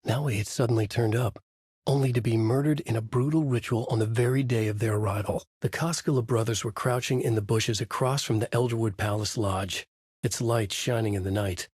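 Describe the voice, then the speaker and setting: An actor playing a haunted male horror writer who narrates in a measured, brooding way in a dry studio booth. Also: medium pitch, fairly even intonation, a little mellow, slightly rough, dry recording, close to an everyday voice. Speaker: video game character narrating. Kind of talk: game voice lines, dark narration. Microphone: studio mic.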